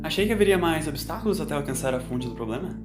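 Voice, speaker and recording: Guy doing a young woman sidekick, high pitched, wry and easily embarrassed, audio story, echoey. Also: animated, fast, natural voice. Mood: curious, wry. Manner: high, flustered, teasing. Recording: echoey, with music behind.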